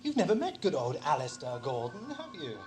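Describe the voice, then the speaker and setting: A man's voice: a little high, wildly swooping pitch, fairly quick. A man playing an eccentric, absent-minded alien time traveller in an audio drama, with a British accent and a playful, musing, quaintly polite manner. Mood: jaunty, whimsical. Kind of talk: amateur audio drama acting, 1980s. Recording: 1980s audio drama with music behind.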